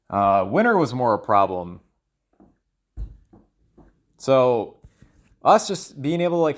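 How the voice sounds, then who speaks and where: A man thinking aloud in a loose solo monologue, hesitant and often trailing off as he searches for words. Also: mid-range voice. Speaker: solo audio log creator.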